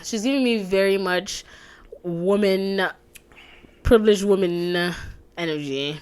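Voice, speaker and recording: A woman's voice: mid-range voice, takes it slowly, a little mellow, smooth. A young woman talks on a casual podcast with a mid-range voice. She is blunt and teasing, and swears without a second thought. She often pushes back on her co-host. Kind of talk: casual chat.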